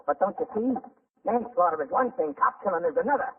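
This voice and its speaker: An actor playing a tired, anxious sailor on the run, slangy and urgent in 1940s radio style, on a tinny old recording. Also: male voice, high-pitched, expressive, fairly quick, husky, dry recording, recorded from a distance.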